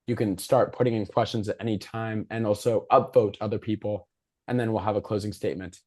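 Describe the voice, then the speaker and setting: A man with a hoarse, failing voice hosting a civic discussion over a video call, presenting prepared material informally. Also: lowish voice, steady tone, a little fast, a little mellow. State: hoarse, losing his voice. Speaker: moderator and host of a civic discussion.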